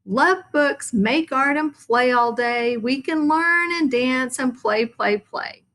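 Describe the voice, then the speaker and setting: A woman voicing an excitable, boastful young boy frog in an eager, exclamatory storybook voice. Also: sits high, takes it slowly, pitched above the performer's own voice. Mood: sing-song. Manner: exclamatory, eager, sing-song.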